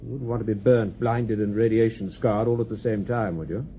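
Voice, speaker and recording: An actor playing a brisk, officious, matter-of-fact spaceship officer in a radio drama. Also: male voice, on the low side, fairly even intonation, close to an everyday voice. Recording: phone-like narrow band, faint noise.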